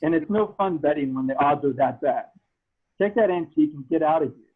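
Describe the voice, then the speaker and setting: Male reader as arrogant alien pod racer, dismissive, slightly high pitch, phone-like call sound, fan-fiction table read. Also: a little fast, slightly rough. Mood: scornful. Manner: dismissive, taunting. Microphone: video call. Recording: thin phone-like video call audio.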